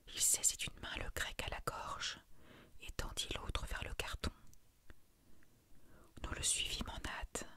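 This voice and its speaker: A woman reading a short story as a narrator, in a hushed, soft ASMR bedtime style.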